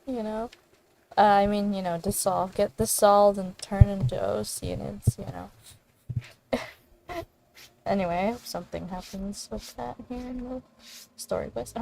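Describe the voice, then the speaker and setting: A woman's voice: a little high, gravelly, a little mellow, slight background noise, poor audio. A young woman giving casual, informal gaming commentary in a rambling, giggly way. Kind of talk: casual let's-play reaction commentary. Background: game music.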